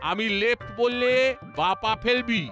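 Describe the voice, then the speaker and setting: A man's voice: very high-pitched, steady tone, unhurried. An actor playing a strict, elderly grandfatherly disciplinarian in a cartoon, speaking in a formal, commanding manner. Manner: stern, commanding, formal wording. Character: strict old grandfatherly disciplinarian man drilling children. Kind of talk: cartoon voice acting. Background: background music.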